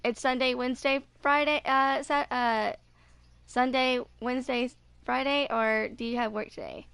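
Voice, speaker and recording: A woman's voice: high voice, steady tone, measured pace, gravelly, a bit bright, clean tone, dry recording. A woman in her twenties chatting casually while streaming a video game, rambling and hesitant as she goes. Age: 20s. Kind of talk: casual live gameplay chat.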